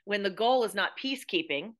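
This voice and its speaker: Female interview guest, American accent, bright forceful voice, emphatic repetition. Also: medium pitch, lively intonation, fairly quick. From United States. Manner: emphatic, repeats phrases for effect. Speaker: podcast guest, playwright and theatre educator. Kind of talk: interview chat.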